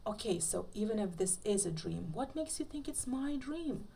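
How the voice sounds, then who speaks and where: A woman's voice: medium pitch, animated, normal speed, gravelly, dark tone, a little hiss or hum. A woman voicing a bewildered, insistent male FBI agent, incredulous and earnest, in a story read aloud.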